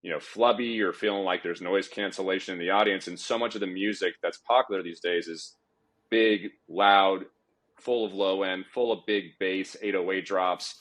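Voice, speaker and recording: A man speaking as a panel guest on live sound, explaining off the cuff with halting, repeated phrasing. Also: low voice, fairly even intonation, a bit bright. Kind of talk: panel discussion, unscripted explanation.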